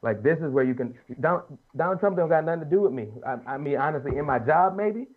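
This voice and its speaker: A man's voice: on the high side, lively intonation, quick pace. A man in his late thirties talking casually and earnestly as a podcast panelist, making his points emphatically in colloquial speech. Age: late 30s. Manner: earnest, emphatic, colloquial, repeats and rephrases as he argues. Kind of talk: casual opinionated panel talk. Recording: muffled lo-fi audio.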